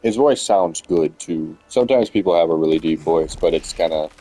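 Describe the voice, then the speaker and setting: A man's voice: low voice, normal speed, dark tone, faint music behind, poor audio. A young man casually commenting on a video game as he plays, rambling with lots of hesitant fillers. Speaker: gamer streaming a playthrough.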